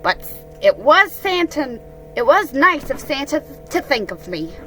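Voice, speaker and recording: A woman's voice: sits high, big pitch swings, measured pace, far from the mic, loud music behind the voice, pitched above the performer's own voice, low-quality recording. A woman voicing a bouncy, friendly, outspoken tiger with an emphatic storybook delivery.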